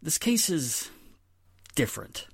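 Guy playing a stern fed-up cop inspector, very animated, dry close sound, old radio play. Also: fairly high pitch, slow, gravelly, husky, dry recording, full character voice. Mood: grave, confiding. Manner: emphatic, stern. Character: exasperated, firm male police inspector. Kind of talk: 1940s radio drama acting.

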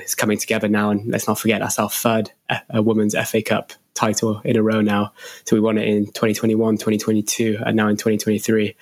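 A man co-hosting a casual football podcast, sounding a bit nasal from a cold. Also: fairly low pitch. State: stuffy nose from a cold. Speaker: podcast co-host.

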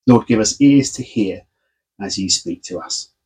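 A man's voice: on the low side, measured pace, dark tone, slightly rough, poor audio. A man, a pastor giving a casual, conversational sermon from his home study. He is under the weather and sounds snuffly and congested. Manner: friendly, conversational, informal. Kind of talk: casual sermon and Bible reading.

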